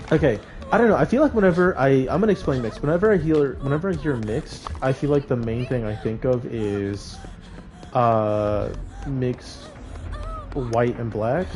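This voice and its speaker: A male game streamer with a slightly low-pitched voice talks in a loose, slangy, conversational way. He chats with viewers and reacts aloud to the game, with music clearly playing behind him. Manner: informal slangy banter, reacting aloud to gameplay. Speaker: video game livestreamer. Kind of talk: casual gaming stream chat with live reactions.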